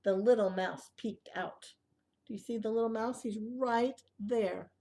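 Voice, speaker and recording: A woman reading a picture book aloud to young children in an expressive way, with asides and questions to the listeners. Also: on the high side, measured pace.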